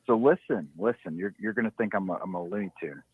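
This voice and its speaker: A man's voice: fast, breathy edge, dry recording. A man calling in to a podcast, talking casually in a rambling, hesitant way with many restarts. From Ohio. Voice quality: low voice. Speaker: caller. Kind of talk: casual phone-in chat. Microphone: phone. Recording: dry tinny phone line.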